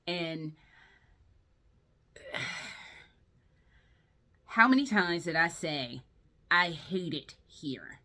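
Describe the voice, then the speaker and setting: A woman's voice: lowish voice, fairly bright tone. A tired woman giving a casual, rambling review in a vlog, blunt and freely profane as she talks. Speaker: video game and movie vlogger.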